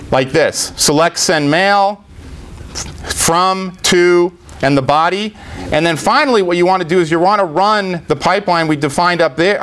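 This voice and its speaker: A middle-aged man giving a live software demo in an informal, step-by-step explanatory way. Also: a little high. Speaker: tech presenter. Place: indoor meetup presentation room.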